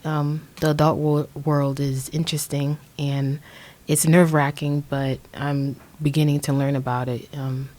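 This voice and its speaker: A woman's voice: sits low, flat delivery, measured pace, warm tone. An American woman in her twenties talking casually on a podcast, hesitant and searching for her words. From Indianapolis, USA. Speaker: podcast guest, young music artist. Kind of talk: casual conversational podcast introduction.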